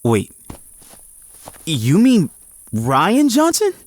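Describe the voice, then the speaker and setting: Frazzled young athlete guy telling his story, audio drama, super expressive, dry close sound. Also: high voice, extremely slow, no room sound, exaggerated voice. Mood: flustered, protesting. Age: young adult. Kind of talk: first-person audio drama narration and dialogue.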